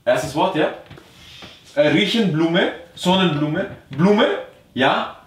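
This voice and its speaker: A man's voice: on the high side, expressive, slow pace, far from the mic. A young man chatting casually and playfully during a game. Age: young adult. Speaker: player in a charades game. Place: small bedroom, indoors. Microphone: distant camera microphone. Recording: echoey room, faint noise.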